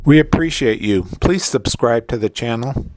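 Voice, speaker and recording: A man's voice: medium pitch, moderate pace, darkish tone, a little hiss or hum, low-quality recording. A man delivering scripted travel narration as the presenter of a hiking channel. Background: background music.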